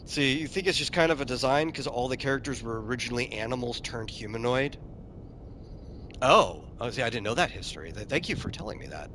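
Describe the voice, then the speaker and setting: A man's voice: mid-range voice, animated, a little fast, fairly bright tone, slight background noise. A man streaming a game, chatting casually and answering his chat, often repeating a word as he starts a sentence. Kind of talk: casual commentary while playing a game.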